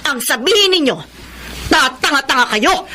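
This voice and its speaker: A middle-aged, domineering mother in a melodramatic radio play. Her very high voice swings widely as she speaks with sharp, scheming authority. Faint noise sits behind it. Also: expressive, moderate pace. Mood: furious, scolding. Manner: sharp-tongued, imperious. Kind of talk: radio drama acting.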